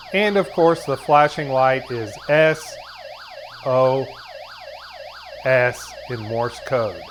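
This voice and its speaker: A man narrating a product review as its host, explaining things in a measured, methodical way. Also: fairly high pitch, noisy background.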